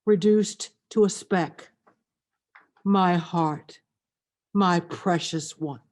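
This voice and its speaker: A woman reads as a tender, grieving mother in a poetic meditation. The voice is slightly low and extremely slow, solemn and reverent throughout. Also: a little mellow. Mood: tender longing. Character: grieving, tender mother addressing her suffering son.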